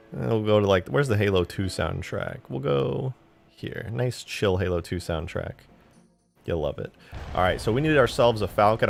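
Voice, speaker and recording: A man's voice: deep voice, creaky. A man in his twenties chatting casually on a live stream while modding a game, rambling, joking and swearing freely. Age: 20s.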